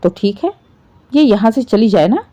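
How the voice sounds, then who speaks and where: A woman's voice: fairly high pitch, expressive, moderate pace, warm tone, lightly acted. A woman voicing a stern older father-in-law, a firm and reproachful patriarch in a family drama story.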